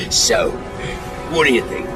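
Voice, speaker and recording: A man playing a smug, sinister collector villain who gloats with playful, gravelly menace. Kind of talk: villain character acting. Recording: background music.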